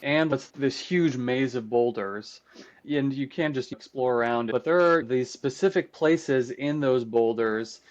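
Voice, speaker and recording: A man's voice: medium pitch, measured pace. Dull-sounding man explaining in conversation. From United States, Croatian immigrant family. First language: Croatian. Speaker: podcast guest, trip guide and composer.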